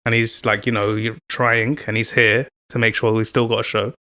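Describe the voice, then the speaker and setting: A man co-hosting a podcast in casual chat, teasing and animated when he tells a story. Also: on the low side, moderate pace. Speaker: podcast co-host.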